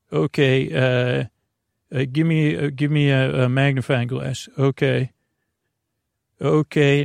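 A man with a creaky, gravelly voice hosting a bedtime podcast, rambling in a halting, meandering way and drifting off into tangents. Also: mid-range voice, darkish tone, no room sound, clean studio sound. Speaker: podcast host.

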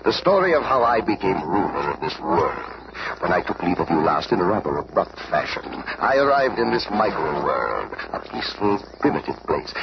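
An actor playing a pompous, sneering tyrant supervillain in a melodramatic radio drama. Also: male voice, fairly low pitch, muffled recording. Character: gloating, theatrical tyrant supervillain, grown man. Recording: noisy, band-limited old radio recording.

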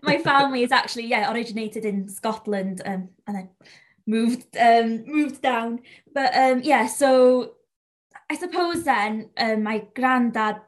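A woman's voice: a little high, measured pace. A twenty-year-old woman from North Wales with a North Welsh accent, a native Welsh speaker, chatting casually as an interview guest. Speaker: podcast guest, young sheep farmer and sheepdog handler.

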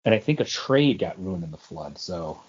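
A man's voice: low voice, very animated, normal speed, a little mellow, slightly rough. A man co-hosting a podcast in informal, casual conversation. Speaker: podcast co-host. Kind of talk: casual chat. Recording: slightly dull, lo-fi podcast audio.

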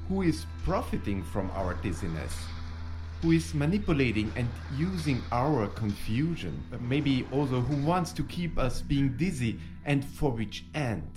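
A man's voice: mid-range voice, sing-song, measured pace. A man co-hosting a podcast in scripted narration. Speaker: podcast co-host. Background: faint guitar music.

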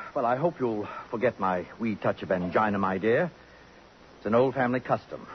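An actor, a man, playing an arch, witty, affected society gentleman in a theatrical 1950s radio drama style. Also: lowish voice, expressive, moderate pace, lightly acted. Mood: sardonic, playful. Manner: affected, pompous, sardonic. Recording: dull, hissy old radio recording.

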